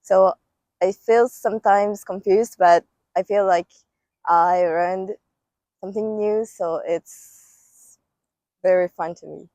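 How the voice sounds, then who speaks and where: A woman's voice: mid-range voice, steady tone, slow, pauses often, dark tone, dry recording. A young woman from Japan with a Japanese accent, a student giving earnest, simply phrased interview answers.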